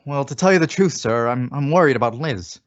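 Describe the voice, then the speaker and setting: An actor playing a well-meaning, befuddled husband and office worker in an old radio comedy. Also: male voice, medium pitch, expressive, fairly quick, lightly acted. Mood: worried, puzzled. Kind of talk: 1950s radio sitcom acting. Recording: dull vintage radio recording.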